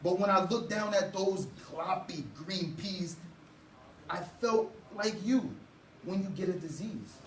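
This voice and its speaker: A young man reading a children's story aloud to a class with expressive, dramatic phrasing, as a student teacher. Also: sits high, slow. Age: young adult. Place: indoor school classroom. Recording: roomy, distant mic, faint noise.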